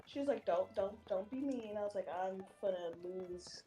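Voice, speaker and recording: Woman streamer, animated storyteller, pitches her voice up from a deeper natural tone. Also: normal speed. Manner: animated venting, acts out quoted voices. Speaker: stream co-host. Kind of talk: casual chat. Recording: music playing behind.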